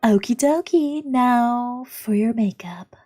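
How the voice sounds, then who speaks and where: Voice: mid-range voice, lively intonation, takes it slowly, mellow, pitched above the performer's own voice. A streamer voicing a coaxing, matter-of-fact adult woman while reading visual novel dialogue aloud over music.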